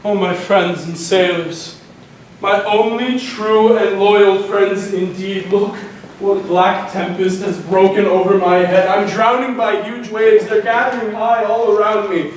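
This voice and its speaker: An actor playing a proud male warrior hero driven mad with grief, declaiming with anguished shouts and low despair. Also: fairly even intonation, unhurried. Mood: pleading. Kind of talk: stage tragedy acting. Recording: echoey room, far from mic, faint noise.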